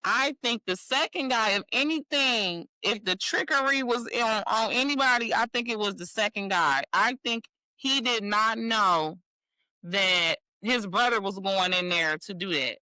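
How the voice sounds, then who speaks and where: A woman's voice: medium pitch, a little slow, sharp tone, clear, resonant tone, dry recording, poor audio. A woman in her forties giving blunt, opinionated commentary on a news story, speaking straight to the viewer. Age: 40s. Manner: blunt and direct, talks straight to the viewer as "sis". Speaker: vlogger.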